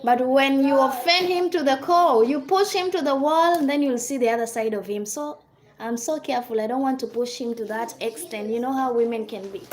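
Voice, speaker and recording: A woman's voice: high-pitched, fairly quick. A woman with a Kenyan accent hosting a casual livestream, chatting and answering viewers' comments. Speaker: livestream host.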